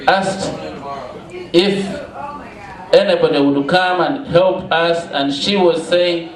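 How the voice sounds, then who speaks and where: A middle-aged or older man with a Ugandan accent, addressing an audience as a storyteller, deliberate and using emphatic repetition. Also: on the high side. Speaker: guest speaker, founder of children's schools. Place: indoor cafe gallery open mike. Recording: echoey live room.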